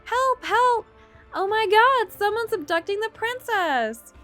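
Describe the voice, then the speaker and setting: A woman's voice: extremely high pitch, animated, slow pace, bright, forceful tone, faint music behind, pitched above the performer's own voice, heavily acted. A woman playing a young lady-in-waiting in a visual novel, wailing in faked terror with melodramatic flair. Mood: faked panic. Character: frantic young lady-in-waiting faking terror over an abduction.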